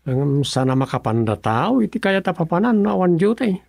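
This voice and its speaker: A complaining village staffer in an Ilocano-accented radio comedy. The voice is fairly high for a man and very lively in pitch, with a strong creak. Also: fairly quick, no room sound, clean studio sound, full character voice. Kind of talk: radio drama comedy acting.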